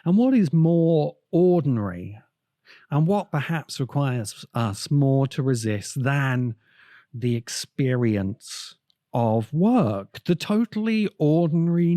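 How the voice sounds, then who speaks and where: A man delivering video essay commentary as a podcast host, with deliberate, emphatic phrasing and dramatic pauses. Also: on the high side, mellow, no room sound, studio quality.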